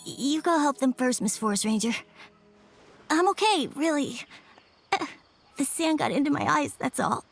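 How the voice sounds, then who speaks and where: A woman's voice: high-pitched, lively intonation, normal speed, pauses often. A woman voicing a shy, polite little boy in an anime game, speaking hesitantly. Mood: upset, evasive. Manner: hesitant, soft-spoken, polite. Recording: music under the voice.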